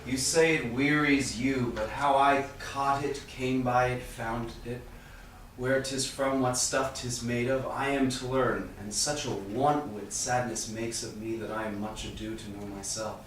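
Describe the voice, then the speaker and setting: A man's voice: medium pitch, steady tone, unhurried, a bit bright, natural voice. Man acting a melancholy merchant in an echoey room. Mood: weary, sad. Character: melancholy, courteous Venetian merchant, a sad gentleman. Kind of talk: staged reading of Shakespearean verse. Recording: distant echoey room, faint noise.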